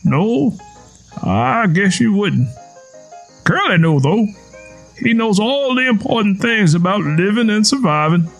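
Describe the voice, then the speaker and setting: A man voicing a gruff old tenant farmer with a strained, choked voice and blunt, folksy talk. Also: high voice, animated, unhurried, soft background music, voice pushed up, low-quality recording. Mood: gruff, matter-of-fact. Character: gruff old backwoods Southern father-in-law, hard-drinking tenant farmer.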